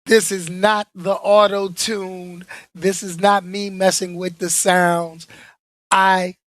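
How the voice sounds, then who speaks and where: Man, podcast host, hoarse, unhurried, casual joking delivery, dry recording. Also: high-pitched, flat delivery, fairly bright tone, dry recording. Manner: laid-back, repeats himself, joking asides.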